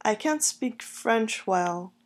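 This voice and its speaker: A woman with a fairly high voice leads a phrase-drill lesson. She speaks at an unhurried, deliberate pace and says each phrase clearly several times over so it can be copied. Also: lively intonation. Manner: slow, carefully repeated phrases. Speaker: language teacher.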